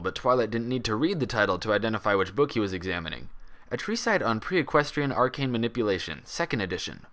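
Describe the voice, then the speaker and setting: Male narrator for dramatic fiction, lively intonation, bright forceful tone. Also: fairly low pitch. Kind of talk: dramatic scripted story narration.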